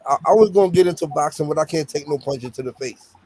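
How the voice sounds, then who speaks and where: A man's voice: on the high side, quick pace, a little mellow, husky. A man in a casual chat who talks emphatically, repeats himself and exclaims.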